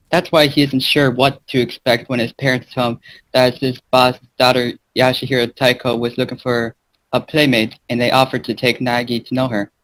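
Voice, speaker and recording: A man's voice: medium pitch, fairly bright tone, a little hiss or hum, low-quality recording. A man reading a fan fiction aloud as an amateur narrator, now and then stumbling over words. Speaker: fan fiction reader and gaming channel host.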